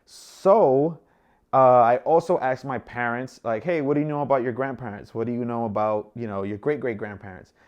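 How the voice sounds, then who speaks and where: A man's voice: mid-range voice, moderate pace, highs cut off. Chatty young man from the Dominican Republic. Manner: relaxed and chatty, with many fillers. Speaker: travel vlogger. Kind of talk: casual vlog monologue.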